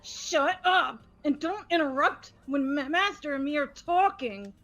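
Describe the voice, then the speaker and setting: A woman's voice: high-pitched, expressive, unhurried, a bit bright, rough recording. A woman voicing a nervous, fawning teenage girl with a stutter in a game playthrough. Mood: indignant. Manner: fawning, defensive, jittery. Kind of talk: voiced visual novel game playthrough.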